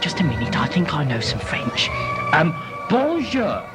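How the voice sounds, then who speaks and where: A woman's voice: low voice, wildly swooping pitch, unhurried, loud music behind the voice, voice pushed down, heavily acted. A woman playing a naive, dim, eager boy with earnest exaggeration in a comic audio pantomime. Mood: naive wonder.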